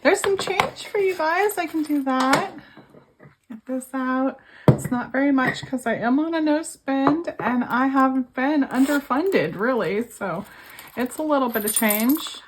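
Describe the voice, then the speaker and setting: A woman's voice: sits high, a little slow, a little hiss or hum. A young woman with a cold and a failing voice, chatting casually to her viewers about her budget, worn out and talking to them as you guys. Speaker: budgeting vlogger. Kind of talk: casual chat to camera.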